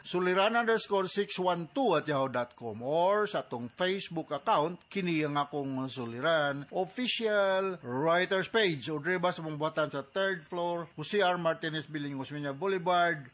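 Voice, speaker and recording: A man's voice: a little high, fairly bright tone. A man with a Cebuano accent, a doctor hosting a radio advice program in a conversational, folksy way. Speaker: psychiatrist and radio advice host.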